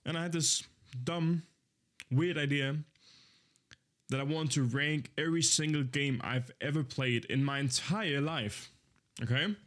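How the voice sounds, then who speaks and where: A man's voice: a little high, unhurried, fairly bright tone. Easygoing rambling young streamer man. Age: 20s. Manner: rambling, easygoing, with many fillers and self-corrections. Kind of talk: casual live-stream chat.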